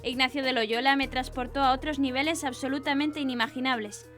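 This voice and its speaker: Woman newsreader with a high voice. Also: fairly even intonation, a little fast, a bit bright, faint music behind. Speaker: news presenter. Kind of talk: scripted news narration.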